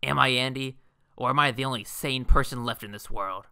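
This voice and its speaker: A man voicing melodramatic, excitable teenage slacker boys in an amateur animated comedy. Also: mid-range voice, a little fast, creaky, smooth, no room sound, clean studio sound. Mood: grandly earnest. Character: excitable fourteen-year-old cartoon slacker boys and their friend.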